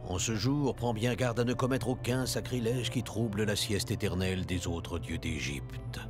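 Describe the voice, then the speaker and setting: A man's voice: lowish voice, normal speed, lightly acted. A man playing a benevolent, solemn ancient Egyptian god in a cartoon, speaking in a stately, formal way. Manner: stately, formal, old-fashioned wording. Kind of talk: French cartoon dubbing. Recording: music behind the voice.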